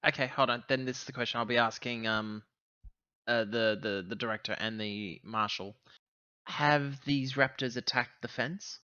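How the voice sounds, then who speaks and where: Bright-voiced man reasoning at the game table. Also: mid-range voice, steady tone, normal speed, smooth, muffled recording. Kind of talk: casual game-table chat.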